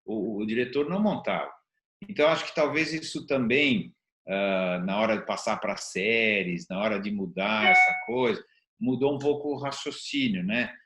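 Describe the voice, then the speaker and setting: A man's voice: on the low side, moderate pace, fairly bright tone. A middle-aged or older Brazilian man in a conversational discussion, explaining things and repeating phrases for emphasis. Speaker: interview show host. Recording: roomy, echoing sound.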